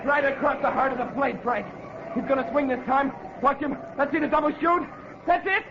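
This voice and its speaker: Very high-voiced, spirited college ballplayer. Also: male voice, a little fast. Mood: excited, urging. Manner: hearty, chattering encouragement. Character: spirited young male college catcher cheering his team. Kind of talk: 1940s radio adventure acting. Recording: muffled, very noisy old radio recording. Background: loud crowd.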